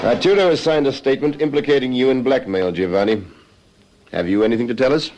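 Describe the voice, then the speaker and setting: An actor playing a calm, methodical police detective in a radio crime drama, questioning in a measured, formal way. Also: male voice, mid-range voice, faint music behind, natural voice, poor audio. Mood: probing, stern.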